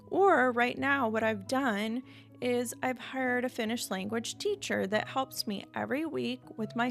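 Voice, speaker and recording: A woman's voice: fairly high pitch, gravelly. A young woman from the United States, talking candidly and reflectively to her viewers in an unedited vlog, with light laughs now and then. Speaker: vlogger. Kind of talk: candid unedited monologue to camera.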